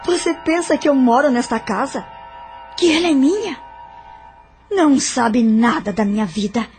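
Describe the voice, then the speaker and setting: A woman's voice: sits high, animated, moderate pace, a little mellow, soft background music. A woman playing a vengeful, scornful woman. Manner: threatening, spiteful, scornful. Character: bitter vengeful woman, brothel owner. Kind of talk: audio drama acting.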